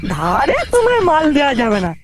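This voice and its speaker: A woman's voice: sits high, huge pitch swings, brisk. A woman voicing a stubborn, mocking young male liquor-shop worker in a comic cartoon. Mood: scornful refusal. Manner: mocking, firm, sharp-tongued.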